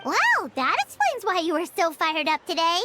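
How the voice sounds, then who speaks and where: A woman's voice: extremely high pitch, huge pitch swings, moderate pace, over loud music, exaggerated voice. An actress voicing a cheerful, caring young girl in a video game. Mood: playful.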